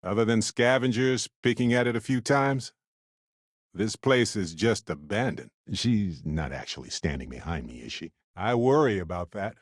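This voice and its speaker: An actor playing a wry, adventurous, warm-hearted space explorer companion in a video game, speaking in an expressive, conversational way. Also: male voice, lowish voice, normal speed, no echo, studio quality. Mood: wry, casual.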